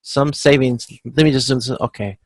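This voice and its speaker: A man with a creaky voice working as an instructor, giving an informal, explanatory step-by-step tutorial. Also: medium pitch, a little slow, no echo. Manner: explanatory, checks in with frequent "okay". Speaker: instructor leading a supply chain class tutorial. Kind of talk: informal step-by-step tutorial over video conference. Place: close, dry-sounding video call.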